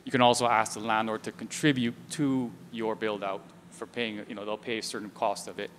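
A man's voice: lowish voice, level tone, brisk, a bit bright. A man in his forties with an American accent gives a practical seminar talk into a handheld microphone at a lectern. He talks conversationally, often restarting phrases and filling with "you know." His voice has a long reverb from the large hall, with a faint room hum underneath. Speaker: real estate lawyer giving a talk. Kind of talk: seminar presentation. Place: large indoor event hall with a stage and projector. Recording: reverberant hall, mic heard from a distance.

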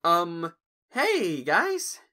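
A man's voice: high voice, wildly swooping pitch, extremely slow, pushed and bright, heavily acted. A man playing a nervous but clever young human in a cartoon audio drama, hesitant and wry.

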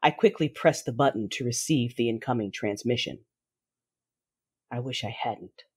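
A woman playing a fierce, grieving warrior mother who narrates her own story in a measured, dramatic audio drama style. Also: a bass voice, a little mellow, slightly rough, exaggerated voice. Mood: solemn, controlled. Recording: voice over a music bed.

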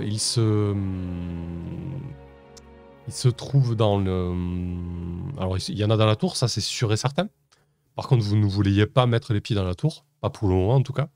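A man with a low voice runs a tabletop game, pausing often and restarting his sentences. Faint music plays under him. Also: darkish tone. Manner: hesitant, many fillers and restarts. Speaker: game master.

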